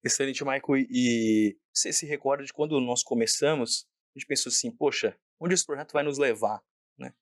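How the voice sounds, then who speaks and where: A man's voice: mid-range voice, normal speed, dry recording. A man hosting an interview podcast, enthusiastic and effusive as he introduces his guest. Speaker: podcast host.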